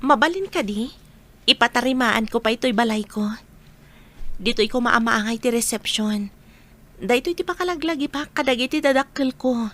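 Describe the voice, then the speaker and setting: A woman plays a determined bride-to-be in an Ilocano radio drama. Her voice is high, with an Ilocano accent. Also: normal speed, pauses often, fairly bright tone, close to an everyday voice. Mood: reassuring, firm. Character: determined bride-to-be, a madam rebuilding her parents' house.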